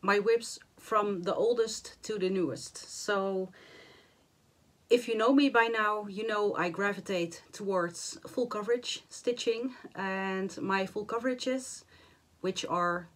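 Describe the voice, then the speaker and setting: Woman, 40s, hoarse and nasal, chatty with fillers, slow easy delivery, average pitch, small home room. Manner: chatty, many fillers and restarts. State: hoarse and nasal after flu. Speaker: cross-stitch vlogger. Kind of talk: casual chat to camera.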